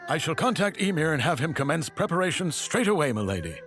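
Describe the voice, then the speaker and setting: A dutiful retainer from a fantasy game talks fast in a slightly high voice. He is formal and deferential, brisk in his service. Music plays clearly behind him. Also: sing-song, fairly bright tone. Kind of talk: video game voice acting.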